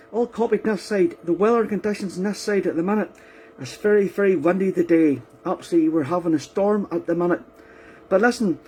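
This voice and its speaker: High-pitched Northern Irish man on ham radio. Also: dark tone. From Northern Ireland, County Antrim. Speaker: amateur radio operator. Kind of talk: casual ham radio contact. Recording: faint background radio noise.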